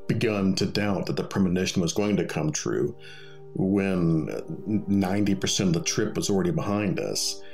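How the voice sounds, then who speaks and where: A man's voice: low voice, creaky. A man telling a personal story in a conversational, wry and wordy way. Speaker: storyteller reading own experience.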